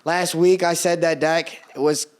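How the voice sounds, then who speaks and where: A young man whose first language is Portuguese, co-hosting a casual sports podcast, animated and swearing freely as he breaks down his team. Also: a little high, measured pace, smooth. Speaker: podcast co-host.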